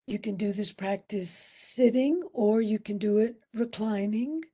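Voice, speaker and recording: Female meditation instructor, very unhurried, mellow and slightly husky, soothing delivery with spacious pauses. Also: mid-range voice. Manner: soothing, unhurried, with long pauses between short phrases. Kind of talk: guided meditation.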